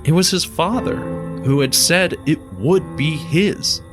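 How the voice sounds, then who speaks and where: A man narrating an audio drama in a measured storytelling manner. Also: fairly high pitch. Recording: loud music bed under voice.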